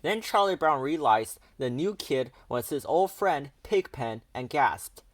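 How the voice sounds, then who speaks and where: A man's voice: a little high, animated, measured pace, no echo. A man narrating a children's picture book aloud as a storyteller.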